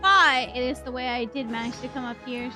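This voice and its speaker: A woman's voice: high voice, a little fast. A woman game streamer giving casual live reactions and commentary as she plays. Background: game music.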